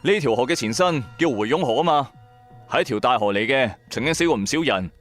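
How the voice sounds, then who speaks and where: A man's voice: medium pitch, lively intonation, brisk, fairly bright tone, natural voice. A man playing a bankrupt, hot-tempered trader who narrates his own story in colloquial, emphatic style for a radio drama. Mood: irritated, skeptical. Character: bankrupt male electronics trader, hard-pressed, hot-tempered, streetwise. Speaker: first-person narrator and lead. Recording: background music under voice. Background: music.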